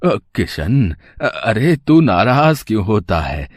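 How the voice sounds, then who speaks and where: A man voicing a poor old cobbler grandfather, gentle, weary and affectionate, in a moral story. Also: lowish voice, sing-song, takes it slowly, no room sound, studio quality, exaggerated voice. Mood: tender. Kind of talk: animated moral story dialogue. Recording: clear street and traffic noise behind.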